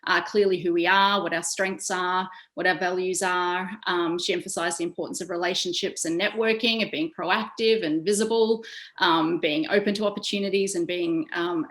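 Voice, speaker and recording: Lower-voiced woman, pausing for words. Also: fairly bright tone. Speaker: panelist, career development practitioner. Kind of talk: panel discussion, unscripted.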